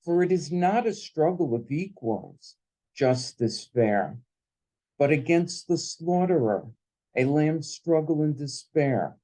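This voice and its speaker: A man with a mellow, warm voice reads verse in a slow, solemn way. He lingers over each line and gives the words room. His delivery is deliberate and measured throughout. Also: on the high side, expressive, poor audio. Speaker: son of the poet, introducing and reading. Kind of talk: slow, measured poetry reading.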